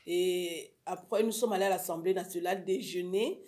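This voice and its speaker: The lively woman guest who takes over a local radio talk show with her exclaimed anecdotes. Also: fairly low pitch, moderate pace. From Africa. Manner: animated storytelling, exclamatory. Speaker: guest, writer on violence against women. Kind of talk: casual radio interview chat.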